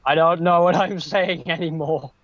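A man's voice: on the high side, steady tone, normal speed, no echo, lo-fi sound. A young man chatting on voice chat while gaming, joking and easily flustered. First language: not English. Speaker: gamer on voice chat. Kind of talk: casual chat while playing.

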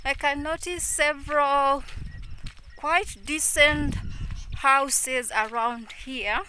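Slow-talking Kenyan woman vlogging outdoors. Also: high-pitched, fairly even intonation, pushed and bright, a little hiss or hum. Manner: slow, chatty, addresses viewers as guys. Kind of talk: walking vlog chat. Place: rural roadside outdoors. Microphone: phone held at arm's length.

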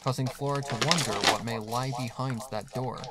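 A man's voice: mid-range voice, steady tone, a little fast, a bit bright. A man reading a scripted horror story as a dramatic narrator. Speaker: narrator of a horror fan fiction. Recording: music behind the narration. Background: music.

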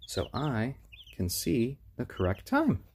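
A man hosting a casual live stream at home on his phone, speaking gently to viewers and to baby chicks. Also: medium pitch, huge pitch swings, takes it slowly, a little hiss or hum. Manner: gentle, soft-spoken, talks to chat and chicks. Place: home room. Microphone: handheld phone.

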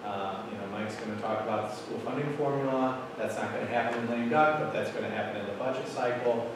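A man's voice: mid-range voice, fast. A middle-aged man at a podium, explaining policy updates to a school board in a casual, explanatory way with many fillers, recorded from across a large meeting room. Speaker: school administrator presenting to a board. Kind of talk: meeting presentation. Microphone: room mic from far off, standing at a podium. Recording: very echoey room, distant mic, noisy.

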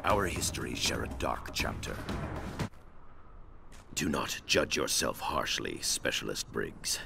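An actor playing a stern, imposing warrior clan master in a video game, speaking formally in measured admonishments. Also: male voice, rumbling low, very animated, gravelly, music in the background, exaggerated voice. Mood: grave. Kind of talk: dramatic video game cutscene acting.

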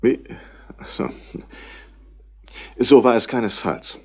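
A man's voice: mid-range voice, animated, slow, a little mellow, husky, heavily acted. An actor playing a brusque, self-important Australian businessman in a 1960s German radio crime drama. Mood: businesslike, firm. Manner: clipped, self-important, indignant. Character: brusque, busy rich Australian businessman, wool merchant's son.

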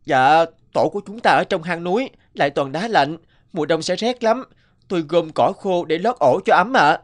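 Man playing a meek, high-pitched little cartoon crow. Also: lively intonation, moderate pace, natural voice. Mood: humble. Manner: pleading, humble, deferential. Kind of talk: animated fable voice acting.

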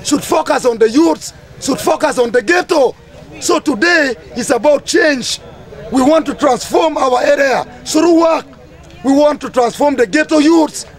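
High-pitched, emphatic Ugandan man. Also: unhurried, a faint crowd. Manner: emphatic, declamatory, repetitive phrasing. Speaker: community team leader. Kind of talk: impassioned public address to camera. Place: outdoors under trees among a gathering.

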